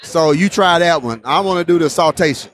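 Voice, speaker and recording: A man's voice: a little high, brisk, no echo. A man hosting a casual beer tasting vlog, enthusiastic and repeating his phrases. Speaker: host.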